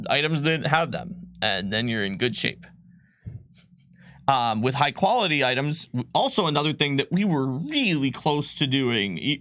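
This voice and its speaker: A man's voice: mid-range voice, sharp tone, dry recording, studio quality. A man co-hosting a casual livestream, explaining game rules with many fillers and restarts. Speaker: game designer co-host. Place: studio.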